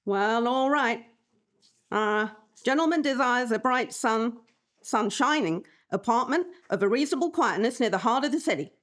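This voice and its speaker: A woman's voice: a little high, expressive, moderate pace, a bit bright. A woman playing a wry, unimpressed rental agency clerk in a live radio drama, offhand and wisecracking. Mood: deadpan reading. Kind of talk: live 1940s-style radio drama acting. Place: live performance in a library before an audience.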